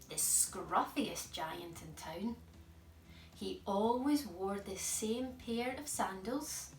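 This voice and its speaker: A woman storyteller narrating a picture book for young children in an expressive, emphatic way. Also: mid-range voice, gravelly. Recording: echoey room, distant mic.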